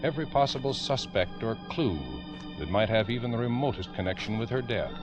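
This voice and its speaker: A man with an American accent narrating a 1950s radio true-crime drama as host, in a grave, emphatic, deliberate way. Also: mid-range voice, lively intonation, over loud music. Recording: dull old radio recording.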